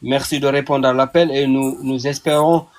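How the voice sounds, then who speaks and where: A man's voice: mid-range voice, fairly even intonation, normal speed, a little mellow. A man hosting an online panel debate, putting audience questions to his guest with frequent hesitations. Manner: hesitant, many fillers. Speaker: panel host, moderator.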